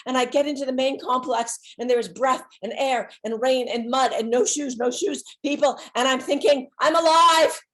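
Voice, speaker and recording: A woman's voice: high-pitched, fairly even intonation, normal speed, hardly any pauses. A woman giving a dramatic reading from a one-woman show, telling her story theatrically and leaning on repeated words for emphasis. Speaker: solo stage performer and storyteller.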